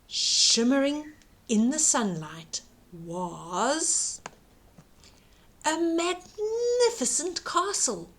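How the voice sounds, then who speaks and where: A woman storyteller with a fairly high, very animated voice. She reads a children's bedtime tale very slowly, stretching key words and building suspense. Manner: hushed suspense and emphatic, drawn-out words. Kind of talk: dramatic bedtime story read-aloud.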